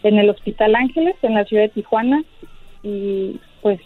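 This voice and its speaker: Female physician calling in, calm and explanatory, tinny dry phone audio. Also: medium pitch, moderate pace, dry recording. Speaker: geneticist guest. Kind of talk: radio interview by phone.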